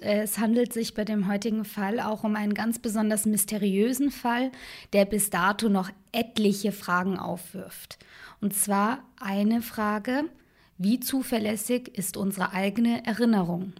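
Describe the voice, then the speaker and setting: A woman co-hosting a true crime podcast, telling the case in a relaxed, conversational way with fillers and asides. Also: medium pitch, unhurried. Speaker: podcast co-host.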